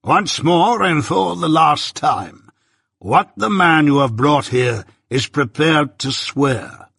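A man's voice: a little high, big pitch swings, slow pace, pushed and bright, husky, no echo, studio quality, pitched above the performer's own voice, heavily acted. A man voicing a stern, domineering male lawyer with harsh, scornful, clipped commands. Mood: irate.

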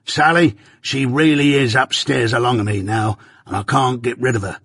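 A man's voice: medium pitch, fairly even intonation, moderate pace, gravelly, fairly bright tone, breathy edge, no echo, clean studio sound. A man voicing a dying, ghost-haunted male convict who raves in frightened rustic dialect. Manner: terrified, raving pleas in rustic dialect.

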